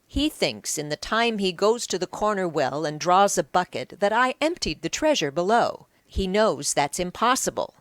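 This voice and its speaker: A woman's voice: fairly low pitch, big pitch swings, normal speed, few pauses, no echo. A woman voicing a scheming, defensive female beer-house keeper of ancient Egypt, protesting and justifying herself in an audiobook. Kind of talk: audiobook character acting.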